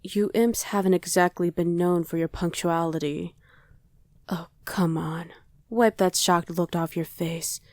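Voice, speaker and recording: A woman's voice: fairly low pitch, steady tone, measured pace, darkish tone, no room sound, natural voice. A woman playing a smug, haughty female shapeshifting demon who taunts mortals in an ASMR roleplay. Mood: scornful, impatient.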